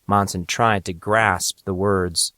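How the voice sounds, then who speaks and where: A man's voice: sits low, steady tone, slow pace, bright, forceful tone, no echo, clean studio sound. A man reading scripted narration for an audio drama of a young adult story.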